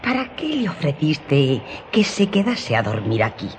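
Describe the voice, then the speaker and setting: Slow, scheming innkeeper's wife. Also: female voice, sits low, big pitch swings. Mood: sly, goading. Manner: insinuating, goading. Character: sickly, greedy, scheming innkeeper's wife, falsely hospitable. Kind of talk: Spanish-language radio drama acting. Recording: dull lo-fi audio, faint noise.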